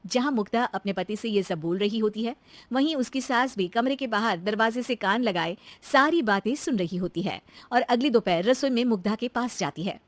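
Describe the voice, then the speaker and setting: A woman's voice: a little high, moderate pace, dry recording. A woman reading a scripted moral tale as the narrator of a story.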